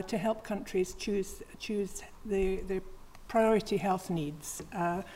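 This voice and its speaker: A woman in her sixties, a retired health official, explaining her work on a panel in a measured, plain way. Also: medium pitch, dark tone, a little hiss or hum, poor audio.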